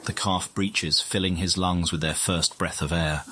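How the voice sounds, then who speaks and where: A man performing a scripted nature-documentary narration in a game, with a solemn, measured, dramatic delivery. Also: low voice, steady tone, bright, forceful tone, heavily acted. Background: music.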